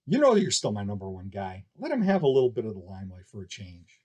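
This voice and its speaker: A man's voice: on the low side, huge pitch swings, fast talker, a little mellow, voice pushed down. A man voicing an easygoing, folksy boss of a flying circus in an audiobook, placating in manner. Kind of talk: audiobook character dialogue.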